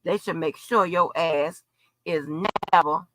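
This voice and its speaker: A woman's voice: low voice, slow, background noise. A woman in an unscripted livestream rant, blunt, profane and confrontational, repeating phrases for emphasis. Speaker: livestream host.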